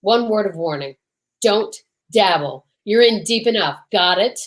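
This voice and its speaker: A woman's voice: medium pitch, expressive, measured pace, lightly acted. Female actor as stern policeman, suspicious and blunt, vintage detective radio-play delivery. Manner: blunt, warning. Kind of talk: old-time radio detective acting.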